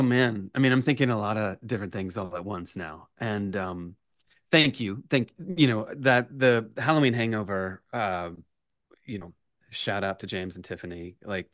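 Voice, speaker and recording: Low voiced guy on a podcast, rambly stories, stop and start, pokes fun at himself, very dry sound. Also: a bit bright, no echo. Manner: halting, self-deprecating, effusive. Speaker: podcast guest, writer. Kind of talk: rambling personal anecdote in casual interview.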